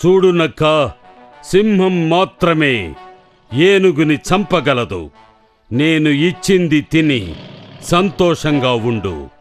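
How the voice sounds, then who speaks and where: A proud lion in a children's cartoon tale. The voice is on the high side and very animated, yet slow and commanding, with music underneath. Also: male voice. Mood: stern, dismissive. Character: proud, commanding male lion of a moral fable. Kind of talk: children's cartoon story acting.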